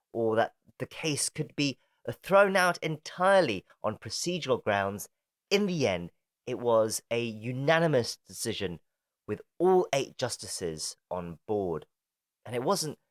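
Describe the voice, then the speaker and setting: Measured man hosting a podcast. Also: mid-range voice, no echo, studio quality. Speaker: podcast host. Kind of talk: scripted narration then interview. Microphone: video call.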